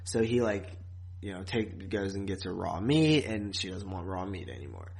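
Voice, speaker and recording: A man's voice: sits low, lively intonation, normal speed, creaky. Man chatting casually on a podcast. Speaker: podcast co-host. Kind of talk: casual chat recapping a TV series.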